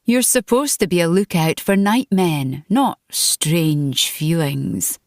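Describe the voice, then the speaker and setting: A woman's voice: fairly low pitch, slow pace, a little mellow, dry recording, studio quality. A woman voicing a brash, scornful grave-digging boy with a curt, bossy way of talking in an audiobook.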